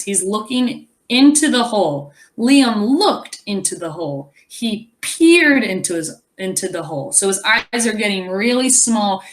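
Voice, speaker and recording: Very animated, unhurried woman reading aloud. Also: medium pitch. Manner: expressive, explains words and wonders aloud. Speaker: teacher reading aloud to pupils. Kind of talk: animated children's read-aloud with asides.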